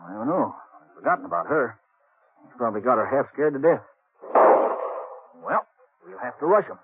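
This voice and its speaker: An actor playing a cocksure, folksy Old West sheriff with an American accent in a radio Western. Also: male voice, mid-range voice, very animated, normal speed, close to an everyday voice. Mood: tense, commanding. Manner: folksy, mocking, chuckles often. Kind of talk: 1950s Western radio acting. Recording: tinny, noisy old radio recording.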